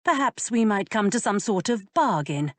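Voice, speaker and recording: A woman voicing a quick-tempered, sharp-tongued young lady who speaks in a tart, exasperated way. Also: medium pitch, very animated, dry recording, voice pushed up, lightly acted.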